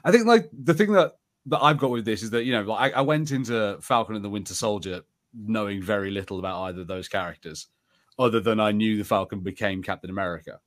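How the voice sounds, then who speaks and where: A man's voice: fairly low pitch, quick pace. A man co-hosting a podcast, chatting casually about TV shows in a rambling, conversational way with plenty of "like". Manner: rambling and conversational, heavy use of "like". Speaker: podcast co-host.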